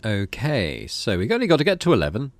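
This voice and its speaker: A man's voice: mid-range voice, wildly swooping pitch, brisk, dry recording. A man giving casual gameplay commentary, often muttering to himself as he plays. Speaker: gaming host. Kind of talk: casual gameplay commentary mixed with reading game text aloud.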